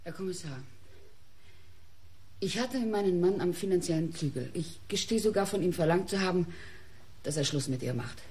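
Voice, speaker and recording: An actress playing a haughty, accusing wealthy widow in a German radio crime drama. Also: female voice, on the low side, expressive, normal speed, pauses often, mellow, lightly acted. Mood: cold, proud.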